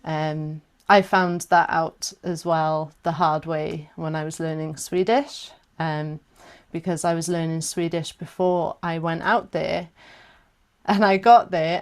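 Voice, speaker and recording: A woman with a South Welsh accent talks unhurriedly in a somewhat low voice, leading a live workshop over a video call. She keeps it chatty, with friendly asides and frequent laughs. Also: fairly even intonation, unhurried, darkish tone. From South Wales. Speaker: chant workshop teacher. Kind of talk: casual live online workshop talk.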